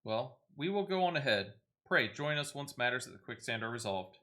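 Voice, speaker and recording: A man's voice: medium pitch, big pitch swings, a little fast, sharp tone. A man reading game dialogue as a courteous, composed ally with formal, archaic phrasing. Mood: polite.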